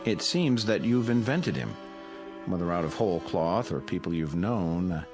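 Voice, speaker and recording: A deep, expressive voice plays a condescending psychiatrist in an audio drama. He is measured and intellectual, calmly patronizing as his pitch rises and falls. Music plays clearly behind him. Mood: patient probing. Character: calm, condescending male psychiatrist at a mental institution. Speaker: actor.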